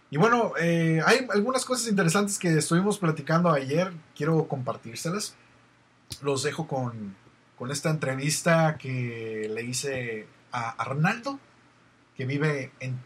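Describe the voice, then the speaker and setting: A man's voice: a little high, a bit bright, slightly rough. A man with a Mexican accent hosting an online radio show, chatty and meandering as he reads listener messages. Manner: chatty, meandering, many fillers. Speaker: radio host.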